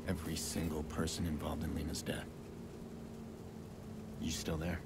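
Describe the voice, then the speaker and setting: An actor playing a grim, determined vigilante hacker in a video game, gruff and terse, with a gravelly voice. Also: male voice, monotone, normal speed, heavy background noise. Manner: low, terse, guarded. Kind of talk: video game dramatic acting.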